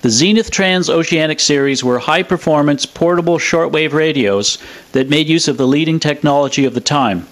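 A man's voice: fairly high pitch, moderate pace. A man presenting in a scripted, explanatory narration style. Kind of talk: scripted narration of a radio restoration demo.

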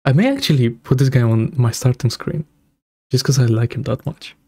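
A man's voice: mid-range voice, normal speed, creaky, dark tone, slight background noise. A young man giving casual, slangy live reaction commentary as a streamer, talking into a close mic. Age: young adult. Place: small home room, indoors. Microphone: close broadcast mic on boom arm. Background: music from the video being watched.

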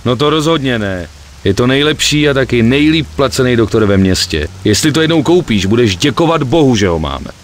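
An actor playing a brash, hot-tempered but loyal gangster in a video game cutscene, blunt and colloquial. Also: male voice, mid-range voice, animated, slight background noise, close to an everyday voice. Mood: bitter, worked up. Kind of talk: dramatic game cutscene dubbing.

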